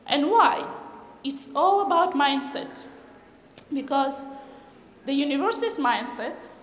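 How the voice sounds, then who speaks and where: A woman's voice: sits high, expressive, slow pace. A middle-aged woman from Kyrgyzstan giving a conference talk, hesitant with many fillers and non-native phrasing, recorded in a large lecture hall. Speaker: conference speaker. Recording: echoey hall with reverb, faint noise.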